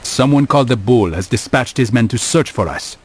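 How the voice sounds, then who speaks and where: An actor playing a calm, resolute medieval assassin in a video game, speaking in a measured, terse and dry way. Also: male voice, medium pitch, slightly rough. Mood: dry, composed. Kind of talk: scripted video game voice acting.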